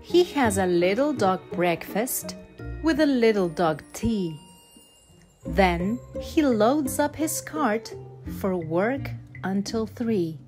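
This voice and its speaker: A woman reading a rhyming children's picture book aloud with measured, deliberate phrasing. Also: mid-range voice, expressive. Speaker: storybook narrator. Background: instrumental backing music.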